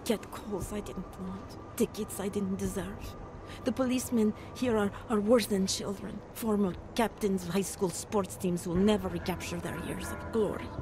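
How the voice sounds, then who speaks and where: Woman voicing a wary formal witness, mid pitch, music behind. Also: steady tone, moderate pace, natural voice. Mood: guarded. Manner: formal, precise, guarded. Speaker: game character. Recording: game cutscene with loud music.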